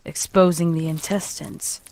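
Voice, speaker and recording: A woman's voice: low voice, fairly even intonation, measured pace, darkish tone, a little hiss or hum, low-quality recording. A woman reading a scripted horror fan fiction story as its narrator.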